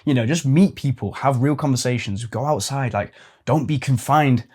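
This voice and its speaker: Twenties male host, chatty and informal, plain mid-pitched voice. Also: normal speed, darkish tone, husky. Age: 20s. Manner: chatty, informal with swearing and "like" fillers. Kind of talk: casual advice talk to camera.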